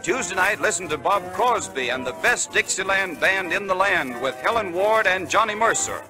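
A male announcer on an old 1930s radio broadcast, delivering a polished advertising pitch. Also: expressive, normal speed, over music, poor audio.

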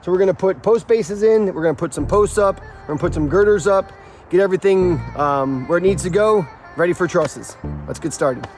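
A man's voice: sits high, brisk, a little mellow, faint music behind. A middle-aged man explaining construction steps casually, with slangy, joking banter, outdoors on a building site. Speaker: builder and video co-host. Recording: noisy outdoor site sound.

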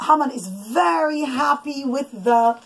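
A middle-aged woman, a mother telling her children a bedtime story in an expressive, emphatic, instructive way, in a bedroom. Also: high voice, measured pace. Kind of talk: animated storytelling with asides to children. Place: small children's bedroom, indoors. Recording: clicky home recording with background noise.

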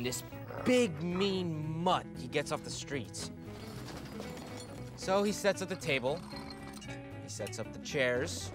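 A man playing a cocky, wisecracking guy who tells friends a crude, slangy tale with dramatic pauses. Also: fairly high pitch. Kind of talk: casual storytelling in a film-style drama. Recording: music behind the voice.

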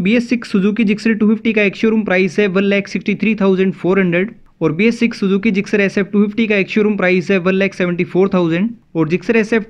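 Indian male vlog host, high voice, rapid delivery, scripted narration, familiar address to viewers. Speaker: motovlog host. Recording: dull, slightly lo-fi voice-over.

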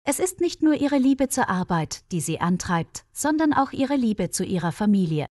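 A woman reading a scripted story for language learners in a careful, clearly phrased way. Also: mid-range voice, sing-song, normal speed, darkish tone, no room sound. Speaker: narrator of a German listening-practice story.

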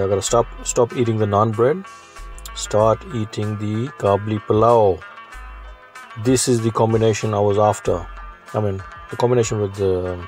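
A man in his forties chats through a food review at a restaurant table. His voice sits fairly low, and he hesitates often with fillers. Faint music plays behind him in the small room. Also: darkish tone. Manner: chatty, hesitant with many fillers. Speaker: food vlogger.

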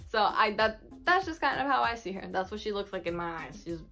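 A woman in her twenties, a native Spanish speaker, chatting casually to camera in an expressive, slangy way with Spanish words mixed in. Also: mid-range voice, brisk, vocal fry. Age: 20s. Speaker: vlogger. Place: small bedroom indoors. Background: instrumental music.

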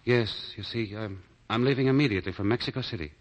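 An actor playing a romantic gentleman lover hiding sorrow, earnest and measured, in 1940s radio drama style. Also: fairly low pitch, lightly acted. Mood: wistful, evasive. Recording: muffled 1940 radio broadcast, faint music.

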